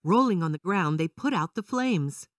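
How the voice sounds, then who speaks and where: Animated, lower-pitched woman storyteller. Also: moderate pace, dry recording, clean studio sound, recorded from a distance. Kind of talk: scripted children's story narration.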